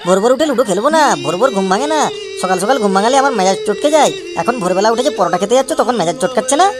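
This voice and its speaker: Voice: mid-range voice, lively intonation, racing, lightly acted. A performer voicing a henpecked, chatty cartoon husband who talks in a complaining, gossipy way in comic dialogue. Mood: exasperated, gossipy. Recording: loud music behind the voice. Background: music.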